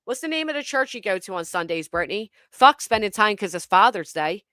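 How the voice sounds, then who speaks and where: A woman's voice: mid-range voice, very animated, fairly quick, sharp tone, dry recording, clean studio sound. A woman hosting a commentary stream, talking bluntly and scornfully and swearing freely. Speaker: commentary channel host. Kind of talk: casual reaction commentary.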